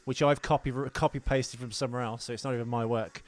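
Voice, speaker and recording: A man's voice: mid-range voice, fairly even intonation, fast talker. A man hosting a casual film podcast, bantering in a sweary, self-deprecating way. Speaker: podcast host.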